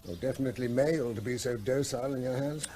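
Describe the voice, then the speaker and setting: An actor playing a middle-aged British country vet in a radio play, a wry, cautious father with a gently grumbling, avuncular way of talking. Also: mid-range voice, fast talker, lightly acted. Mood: matter-of-fact. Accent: British English.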